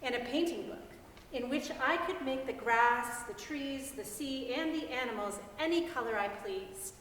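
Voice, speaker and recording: A woman giving an expressive, deliberate dramatic reading of a literary story. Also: high-pitched. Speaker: reader in a church's dramatic reading. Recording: very echoey hall, distant mic, faint noise.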